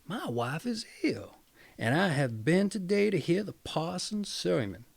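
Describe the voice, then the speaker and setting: Storybook peasant husband, played by a male reader: naive, earnest, wordy, high-set and very animated. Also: measured pace, vocal fry, breathy edge, exaggerated voice. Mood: excited, eager. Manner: excited, earnest, long-winded. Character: gullible, eager, devoted peasant husband.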